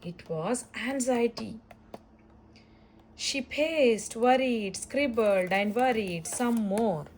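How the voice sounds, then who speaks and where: A woman reading a children's story aloud in an expressive, deliberate storytelling style. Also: on the high side, a little hiss or hum, poor audio. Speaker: storybook reader.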